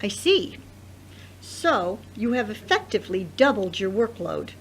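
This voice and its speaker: A woman playing an exacting, probing boss in an audio drama, brisk and formal, with some noise on the recording. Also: mid-range voice, big pitch swings, low-quality recording.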